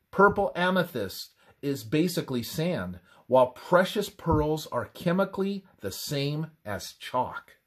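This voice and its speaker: A man reads a scripted religious text aloud in a measured, deliberate manner, pausing between phrases. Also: a little high. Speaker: reader of a religious presentation. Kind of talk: scripted devotional narration, read aloud.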